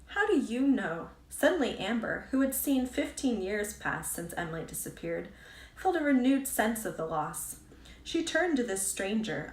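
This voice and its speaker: Female narrator for read-aloud fiction, medium pitch, recorded in a roomy space away from the mic. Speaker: author reading own book aloud. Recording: roomy echo, distant from mic.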